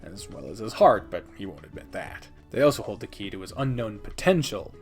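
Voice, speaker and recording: A man's voice: on the low side, big pitch swings, brisk, over music. A man hosting a casual, enthusiastic countdown video, speaking emphatically and dramatically with playful asides and some swearing. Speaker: host of a game video channel. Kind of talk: casual, enthusiastic top-ten countdown commentary.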